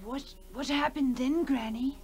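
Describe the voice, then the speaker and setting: High-voiced, frightened curious child. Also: female voice, unhurried, darkish tone, soft background music. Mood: curious, uneasy. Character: frightened, curious small child listening to granny. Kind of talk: 1960s radio drama acting. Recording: noisy old radio recording.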